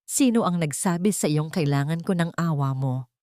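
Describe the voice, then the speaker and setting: A woman's voice: low voice, expressive, moderate pace, dark tone, dry recording. A bitter rich man of an audio drama , acted out by a woman narrator. He speaks with cold, resentful venom, in a dry recording. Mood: bitter anger. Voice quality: hoarse.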